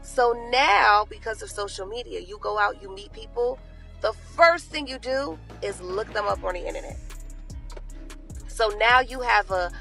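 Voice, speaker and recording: A woman's voice: medium pitch, expressive, normal speed, creaky, a bit bright, soft background music. A woman explaining her view in a casual, conversational monologue, checking in with the listener. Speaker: clip speaker.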